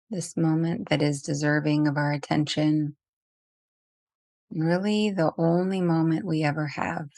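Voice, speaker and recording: A woman leading a guided meditation as a teacher, speaking gently and unhurriedly with long pauses. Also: low voice, no room sound.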